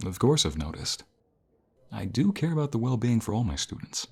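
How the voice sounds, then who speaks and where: A man playing a caring university professor in an ASMR roleplay, speaking in a calm, reassuring and gentle manner. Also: medium pitch, moderate pace, vocal fry, husky, heavily acted. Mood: caring, encouraging. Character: caring male university professor comforting a burnt-out student. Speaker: voice actor.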